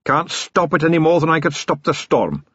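A man's voice: fairly high pitch, sing-song, moderate pace, a bit bright, slightly rough, dry recording, dull audio, pitched above the performer's own voice, exaggerated voice. A male narrator voicing a nervous older riverboat captain in an audiobook, speaking in an anxious, pleading way.

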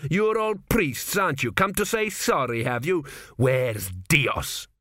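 Guy doing angry old mummy king for an audiobook, growly, slow, sneering, dry close sound. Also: mid-range voice, very animated, fairly bright tone, no echo, full character voice. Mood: menacing, scornful. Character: ancient mummified king, bandaged, angry, sarcastic, accusing. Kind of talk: audiobook character voice.

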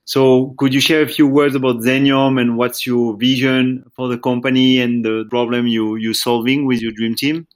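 A man hosting a podcast, introducing his guest and asking questions with many hesitant filler pauses. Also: medium pitch, flat delivery. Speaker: podcast host and investor.

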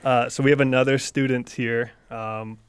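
A man's voice: medium pitch, little pitch movement, moderate pace, smooth. A man around thirty co-hosting a podcast in casual, conversational chat. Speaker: podcast co-host, medical student.

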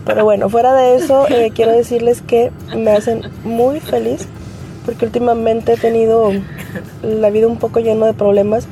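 A woman's voice: on the high side, flat delivery, moderate pace, mellow, faint music behind, rough recording. A woman leaving a casual voice message as a podcast listener.

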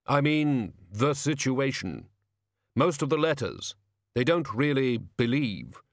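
A man's voice: mid-range voice, big pitch swings, takes it slowly, no echo, studio quality. A man playing a ponderous, solemn hooded figure with a heavy voice and a literal, gloomy manner.

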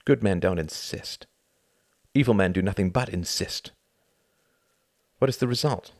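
A man's voice: sits low, unhurried, darkish tone, no echo, studio quality. A man voicing a sardonic, articulate male friend in an audiobook, reasoning and lecturing with emphatic exasperation. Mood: exasperated anger.

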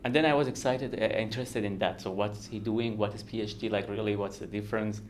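A man in his thirties from Iran with an Iranian accent, a native Kurdish speaker, talking earnestly and haltingly as a podcast guest who laughs often. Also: lowish voice, steady tone, slight background noise. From Iran, Kurdistan region. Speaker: guest researcher. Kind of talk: podcast interview.

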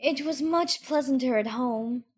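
A curious, chatty little girl, acted by a woman, with a high voice. She is polite and a little prim, thinking aloud to herself in a storybook manner. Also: a little slow, husky. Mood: wistful, musing. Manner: musing, prim, talking to herself. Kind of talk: audiobook character acting.

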